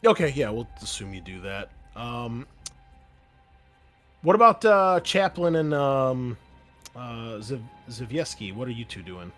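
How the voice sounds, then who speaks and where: A man's voice: mid-range voice, big pitch swings, unhurried, a bit bright, soft background music. A man narrating a tabletop roleplaying game as game master in a casual, loose way. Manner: casual, profane.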